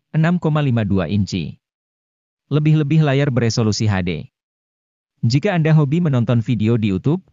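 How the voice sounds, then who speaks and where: Mellow man, dry scripted narration. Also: mid-range voice, no room sound, muffled recording. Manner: formal, informative. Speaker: narrator of gadget review videos.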